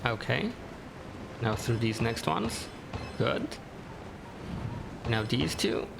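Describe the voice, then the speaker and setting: A man's voice: medium pitch, very animated, slow pace, gravelly, background noise. A man giving casual, laid-back gameplay commentary, muttering to himself as he plays. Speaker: gamer. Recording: game music behind voice. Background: game music.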